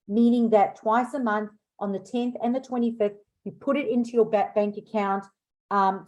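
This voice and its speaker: A woman's voice: a little high, mellow, low-quality recording. A woman with a South African accent leading an informal class as a business coach, stressing key points emphatically. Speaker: business coach hosting a book club class. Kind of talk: informal online class talk.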